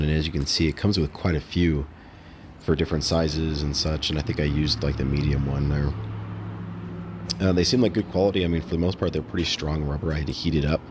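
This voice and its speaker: Very deep-voiced man narrating a DIY install. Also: background noise. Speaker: host of a DIY install vlog. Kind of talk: casual how-to narration over footage.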